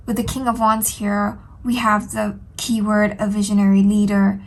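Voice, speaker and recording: A woman doing a tarot reading, sounding slightly nasal from a cold, speaking gently and earnestly with frequent ums. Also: mid-range voice. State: stuffy nose from a cold. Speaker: tarot reader. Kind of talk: solo tarot reading to camera.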